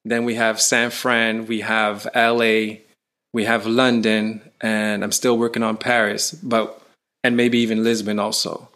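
A man from Canada, a touring musician, chatting in an interview and telling stories with rising excitement. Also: fairly low pitch, unhurried. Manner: animated storyteller, voice rising when excited. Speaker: musician guest. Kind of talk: casual interview.

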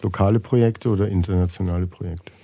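A man's voice: deep voice, steady tone, fast talker, dark tone, slightly rough. A man hosting a podcast in a casual, conversational interview style. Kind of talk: casual chat and short questions.

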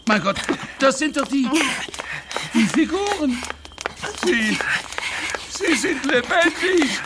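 Children's audio drama: frantic inventor professor, very high strained pitch, big swings, heavy noise behind. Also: male voice, very animated, slow pace, exaggerated voice. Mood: stunned. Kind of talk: children's radio play acting.

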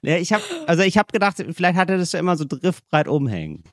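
A man's voice: high-pitched, very animated, fast, dry recording, clean studio sound. A man co-hosting a podcast in casual chat, teasing and rambling with many restarts. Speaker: podcast co-host.

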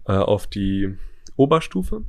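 Guest, male, Berlin-born, lowish voice, halting and trailing answers. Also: very animated, darkish tone. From Berlin, Germany. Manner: hesitant, trails off, short answers. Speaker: podcast guest. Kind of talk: casual interview chat.

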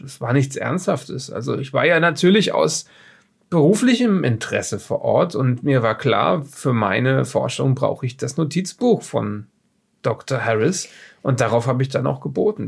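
A man acts out an evasive male researcher in an improvised radio play. His mid-pitched voice has a gravelly fry, and he rambles haltingly, often backtracking as he explains himself. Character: evasive, rambling male researcher being questioned after strange events. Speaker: player in an audio-drama let's play of a solo horror adventure.

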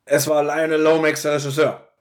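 A male podcast host with a fairly high voice talks casually. He recaps a story step by step and acts out bits of dialogue as he goes. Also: flat delivery, fairly quick. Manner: retells dialogue in acted-out quotes.

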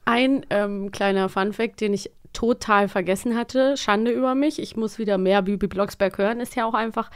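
A woman's voice: mid-range voice, moderate pace, hardly any pauses, clear, resonant tone. A young woman chatting informally and enthusiastically as a podcast co-host. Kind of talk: casual podcast chat about children's audio dramas.

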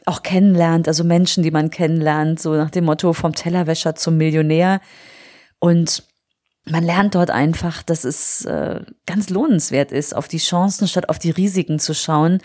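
A woman's voice: fairly low pitch, warm tone. A woman in her forties with a cold, hosting a motivational solo podcast and speaking earnestly and encouragingly to the listener. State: has a cold. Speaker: podcast host. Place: on holiday indoors.

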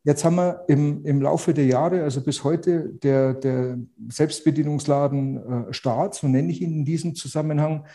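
A man's voice: a little high, steady tone, moderate pace, few pauses, dark tone. A man with a Bavarian accent, a real estate agent guest on a podcast who speaks outspokenly but with many hesitant restarts. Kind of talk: podcast interview.

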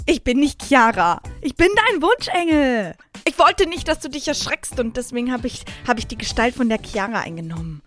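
A woman's voice: fairly high pitch, sing-song, normal speed, a bit bright, soft background music. A woman playing a cheeky, chatty, hungry wish angel in an amateur comedy audio drama. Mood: breezy.

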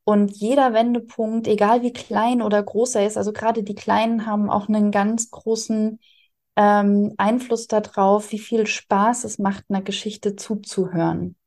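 A woman's voice: medium pitch, flat delivery, moderate pace, a little mellow. A woman, speaking in an interview as an author explaining her writing craft. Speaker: interviewed radio drama author.

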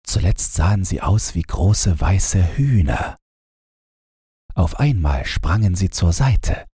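Bedtime audiobook narrator: man, deep and very breathy, close dry sound, measured gentle delivery. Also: dry recording. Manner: calm, measured, gentle storytelling. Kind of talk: bedtime fairy-tale narration, read from script.

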